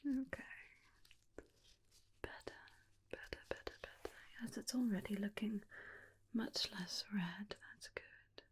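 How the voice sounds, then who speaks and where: Slow, breathy whispering woman as a doting vampire. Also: mid-range voice, exaggerated voice. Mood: tender, reassuring. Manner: slow, soothing, coaxing with endearments. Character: doting vampire companion, tender, protective, faintly menacing. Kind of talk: ASMR roleplay, personal attention.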